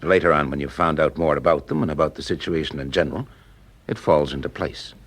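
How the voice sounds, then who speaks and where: A man's voice: very deep voice, steady tone, quick pace, husky, exaggerated voice. An actor playing a wry, easygoing male private eye working undercover in a radio detective drama, probing with hesitant, dry remarks. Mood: casual, thoughtful. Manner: hesitant, probing, dryly humorous.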